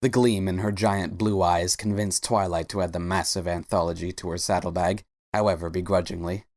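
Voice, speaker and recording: A woman's voice: extremely low pitch, fairly even intonation, a little fast, darkish tone, no room sound, studio quality. A woman reading scripted story narration as the narrator of a dramatic reading.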